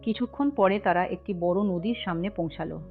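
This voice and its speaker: Woman narrator, lowish voice, even storytelling, music behind. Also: a little fast, phone-quality sound. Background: background music.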